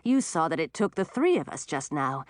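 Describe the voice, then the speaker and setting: An earnest young male dragon from a fantasy storybook, played by a woman reader. He is protective and emphatic, with very mobile pitch and a close, dry sound. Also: very animated, normal speed, no room sound. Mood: reassuring. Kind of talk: audiobook character voice.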